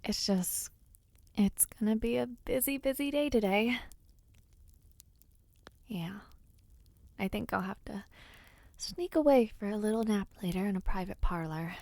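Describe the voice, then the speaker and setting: A woman voicing a playful, affectionate young noblewoman knight in an audio roleplay, speaking softly and teasingly and often dropping into whispers. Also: mid-range voice, unhurried, long pauses, dry recording, natural voice. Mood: chatty, teasing. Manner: soft, teasing and intimate, drops to whispers.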